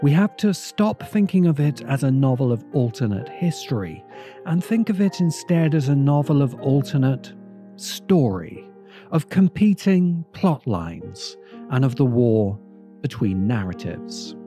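Mellow male essay narrator over music. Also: medium pitch, big pitch swings, a little slow. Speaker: host and narrator. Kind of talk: scripted video essay narration.

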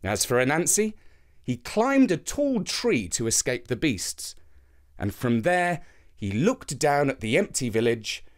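A man narrating a scripted children's story in a theatrical, expressive way. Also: mid-range voice.

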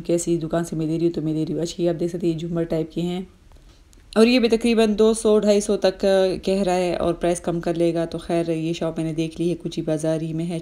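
A woman's voice: fairly low pitch, warm tone. A woman in her thirties, a vlogger narrating a casual shopping trip through bazaar shops, chatty and explanatory, with many fillers. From Pakistan.

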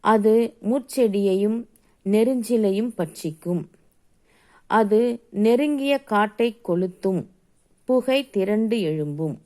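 A woman reading Bible verses aloud solemnly, phrase by phrase, in a measured and deliberate manner. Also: mid-range voice, animated, mellow. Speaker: scripture reader.

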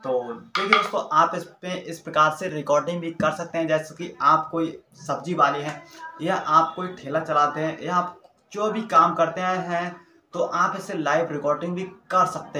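A man's voice: on the high side, bright, forceful tone. A man, explaining a product step by step in a casual, repetitive way. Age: 20s. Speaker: YouTube product reviewer. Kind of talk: casual unboxing demonstration. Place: small plain-walled room indoors.

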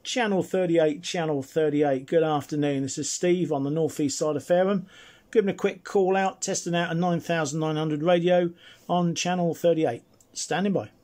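A man chatting casually and at some length as he demonstrates a CB radio, in a friendly, slightly husky voice. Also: a little high, fairly even intonation, normal speed, warm tone. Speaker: CB radio reviewer and operator. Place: indoors at a home radio desk.

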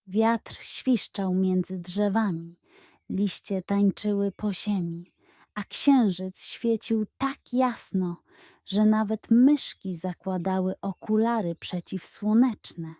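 Unhurried woman storyteller with a mellow voice. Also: medium pitch, no echo, studio quality. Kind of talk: scripted children's bedtime story narration.

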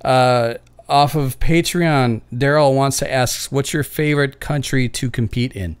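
A man's voice: mid-range voice, a little slow, clean tone, dry recording. A man in his thirties hosting a podcast from a home studio, speaking enthusiastically and effusively on a studio mic. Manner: enthusiastic, effusive, restarts often. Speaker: podcast host. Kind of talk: casual interview. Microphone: studio mic with headphones.

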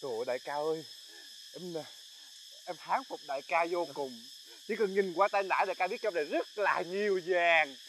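A man's voice: sits high, normal speed, slight background noise, poor audio. A man playing a fawning bandit sidekick who nags and complains at his boss. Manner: flattering, then whiny nagging complaints. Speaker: comedy sketch actor. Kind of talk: comic sketch acting.

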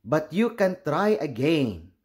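Cheerful, supportive small schoolchildren from a picture book, acted by a man. They are chirpy and exclaiming, pitched high with big, lively swings. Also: slow pace. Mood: encouraging.

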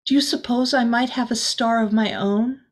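A woman voicing a tiny, sensitive young girl from a storybook in an expressive way. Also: fairly high pitch, normal speed. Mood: wistful.

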